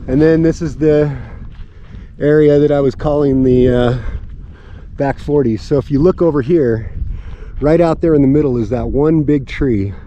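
Man in his forties, easygoing homestead vlogger, camera at arm's length, clear outdoor wind noise. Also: on the high side, moderate pace, dull audio. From California. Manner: relaxed, rambling, with many fillers. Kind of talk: casual walking vlog. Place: outdoors on open high desert land. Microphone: camera held at arm's length.

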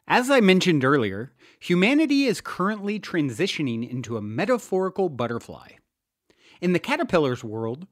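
A man from the US East Coast reading his own self-help book in a deliberate, earnest way. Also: fairly high pitch, dry recording, clean studio sound. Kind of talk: scripted audiobook narration.

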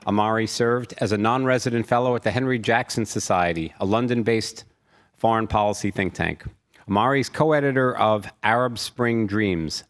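An older man acting as moderator, reading a speaker's introduction from a sheet at a podium in a conference hall. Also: fairly low pitch. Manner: reads from a sheet, steady and formal. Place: conference hall, indoors, large. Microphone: podium mic.

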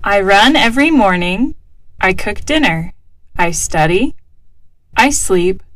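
A woman reading short lesson phrases slowly and clearly, as if for repetition. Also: mid-range voice, lively intonation, fairly bright tone, dry recording. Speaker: dialogue reader. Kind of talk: slow, drilled language-lesson phrases.

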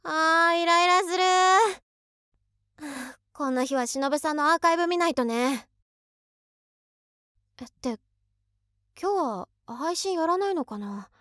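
A young office worker played by a woman in a voice drama, excitable and hopelessly devoted to her idol. Her voice is high and girlish, with unhurried pacing. She muses aloud expressively, very close and dry. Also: no echo, exaggerated voice. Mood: irritated, weary, then musing. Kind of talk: Japanese situation voice drama monologue.

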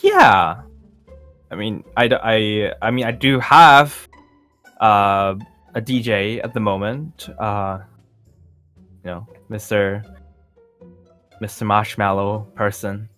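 Man playing a laid-back, low-voiced music star. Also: sharp tone. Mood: casual, encouraging. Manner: halting, understated, hesitant phrasing. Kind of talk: improvised Minecraft roleplay.

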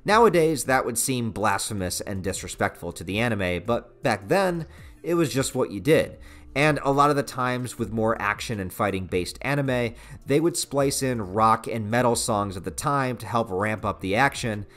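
A man's voice: medium pitch, expressive, normal speed, a bit bright. A man in his thirties with an American accent narrating a casual, opinionated review in an informal, enthusiastic, slangy way. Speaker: video reviewer. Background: background music.

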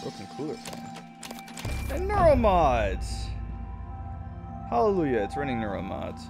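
Lively male gamer over game music. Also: medium pitch, a little slow, creaky. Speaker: gamer, let's play host. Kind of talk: casual game commentary.

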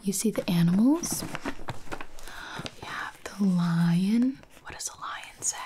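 A woman doing an ASMR roleplay as a baby photographer, whispering softly and cooing as if to a baby. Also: mid-range voice, animated, takes it slowly, background noise.

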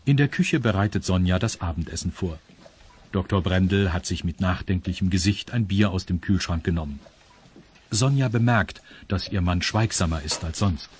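A man's voice: sits low, moderate pace, darkish tone, husky. A man narrating a children's audio drama as a scripted storyteller.

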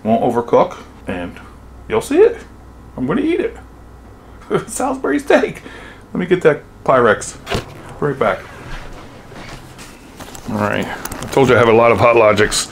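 A man's voice: mid-range voice, very animated, measured pace, creaky, pauses often, slight background noise. Chatty older man cooking in his kitchen. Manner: chatty, upbeat, chuckling often. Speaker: cooking vlogger. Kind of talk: casual cooking demo. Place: home kitchen, then pickup truck. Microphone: lapel mic.

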